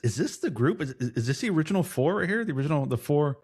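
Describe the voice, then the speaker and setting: A man teasing his friends on a casual movie podcast and laughing often. His voice is fairly high, animated and a little husky. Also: racing. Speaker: podcast co-host. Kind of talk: casual banter among friends.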